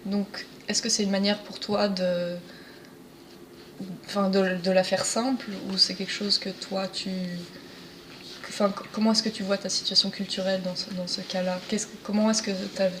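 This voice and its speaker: A woman interviewer asks questions in a very flat, even voice, often stopping to rephrase. Background noise is clearly heard throughout the recording. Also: medium pitch, normal speed. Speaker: interviewer, podcast host.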